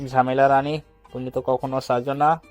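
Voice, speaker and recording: A young man, played by a male actor, in a romantic audio story, mischievous and coaxing. His mid-pitched voice stays fairly level as he teases, with soft music behind. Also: little pitch movement, moderate pace, lo-fi sound, lightly acted. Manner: coaxing, teasing.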